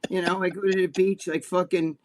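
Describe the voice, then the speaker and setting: Profane, animated New Jersey man. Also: fairly quick. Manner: animated, profane, acts out quotes in a high voice. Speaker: podcast co-host. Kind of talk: casual storytelling chat.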